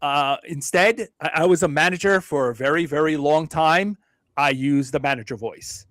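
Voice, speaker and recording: A man from Brooklyn talking as a podcast guest, measured and self-deprecating, with frequent hedges and restarts. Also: on the high side, fairly bright tone, no echo, studio quality. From Brooklyn, New York. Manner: frequent hedging fillers and restarts. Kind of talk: casual interview conversation.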